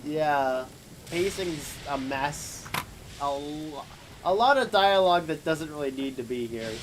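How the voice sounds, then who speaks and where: A man's voice: a little high, expressive, unhurried, background noise. A man livestreaming a game with rambling, joking, casual commentary. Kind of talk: casual live gaming commentary. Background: game audio.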